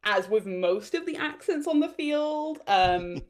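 A woman from Wales with a light Welsh accent, chatting as an interview guest, hesitant, laughing often and filling pauses with ums. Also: high-pitched, lively intonation. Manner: hesitant, giggly, many fillers. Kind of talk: casual interview chat.

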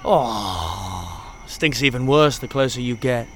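A man's voice: mid-range voice, expressive, takes it slowly, a bit bright, a little hiss or hum. Man playing a flippant, wildly swooping rogue. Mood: wry. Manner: flippant, sardonic, teasing. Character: flippant, charming, theatrical male hellish wanderer named Serenity. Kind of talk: audio drama acting. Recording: outdoor insect and bird ambience behind.

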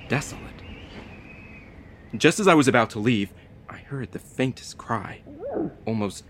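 An actor playing a frightened ex-military delivery courier, telling a horror story in a theatrical, deliberate, suspenseful way. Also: male voice, fairly low pitch, fairly bright tone, a little hiss or hum. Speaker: narrating lead actor. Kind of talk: dramatic first-person horror audio play narration.

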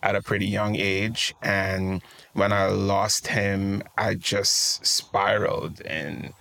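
A man talks in a low, gravelly voice. He shares his story in a reflective, open way, like a guest on a casual interview podcast. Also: little pitch movement, slow pace, bright, forceful tone. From Trinidad and Tobago. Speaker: guest life coach.

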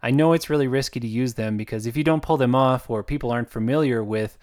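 A man from the United States, an author hosting his own podcast, talking in a chatty, reflective way with fillers and soft laughs. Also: mid-range voice, fast. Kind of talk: casual podcast monologue.

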